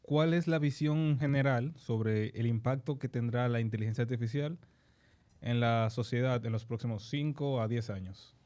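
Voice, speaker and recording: A man's voice: medium pitch, moderate pace, dark tone. A man hosting a podcast, welcoming a guest and asking short questions. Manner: brief, encouraging interjections. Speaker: podcast host. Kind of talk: podcast interview.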